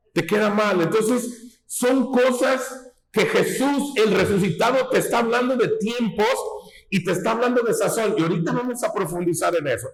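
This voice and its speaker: A man preaching a sermon in a folksy teaching tone, often asking his listeners whether they follow him. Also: high voice. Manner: folksy, asks rhetorical questions, teaching tone. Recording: echoey hall.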